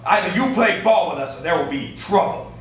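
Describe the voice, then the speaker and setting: A man's voice: sits high, animated, brisk. Man playing a bossy fight fixer, very echoey. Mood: bossy, menacing. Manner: wheedling yet menacing, condescending. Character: scheming male fight fixer, bossy, smooth, condescending. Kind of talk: amateur staged play reading. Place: echoey indoor room, staged reading. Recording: distant echoey room, faint noise.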